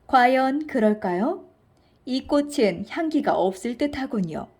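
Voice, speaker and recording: A woman voicing a wise, composed and firm queen in a children's storybook, speaking in a measured, dignified, formal manner. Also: high-pitched, lively intonation, a little mellow, breathy edge, exaggerated voice. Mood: calm, knowing.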